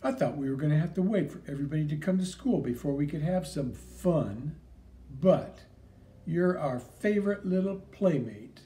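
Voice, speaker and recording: A loud, sneering schoolyard bully, put on by a man reading a storybook. He jeers and drags his words out mockingly. The tone is mellow. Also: on the high side, expressive, normal speed, recorded from a distance, natural voice. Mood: taunting. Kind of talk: audiobook character reading.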